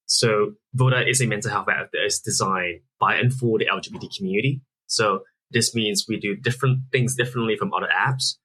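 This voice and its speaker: A man's voice: on the low side, bright, forceful tone, slightly rough, rough recording. A man in his thirties from Singapore, a founder answering interview questions earnestly, explaining at length with frequent restarts. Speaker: app founder guest.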